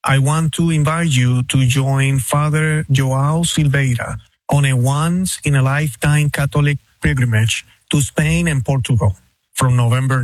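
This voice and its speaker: A man reading a scripted invitation to a pilgrimage in a slow, measured, earnest way, like a priest addressing the faithful. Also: mid-range voice, husky, dry recording, low-quality recording. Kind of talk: scripted promotional announcement.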